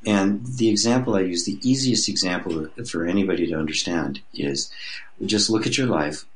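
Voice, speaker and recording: A mature man with a low-pitched voice talks as a guest teacher in an interview. He explains his ideas reflectively and conversationally, often restarting a phrase as he works toward the wording. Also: fairly bright tone, low-quality recording.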